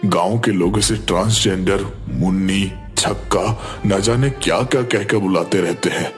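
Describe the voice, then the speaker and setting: A man's voice: deep voice, measured pace, faint music behind. A man playing a worried, respectful father pleading for help in a cartoon. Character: worried, pleading father embarrassed by his son. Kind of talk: Hindi cartoon dialogue.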